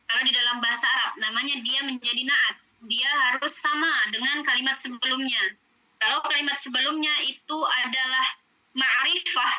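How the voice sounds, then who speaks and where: Woman, teacher, patient explaining, high voice, dull online audio. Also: pushed and bright. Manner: patient, explains slowly with many fillers. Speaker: Arabic teacher.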